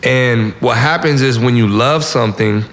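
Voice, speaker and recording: A man's voice: mid-range voice, normal speed, fairly bright tone. A young man from Southern California, talking in a casual, slangy way as a musician being interviewed. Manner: slangy, informal. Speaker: musician guest. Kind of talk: casual interview, giving advice.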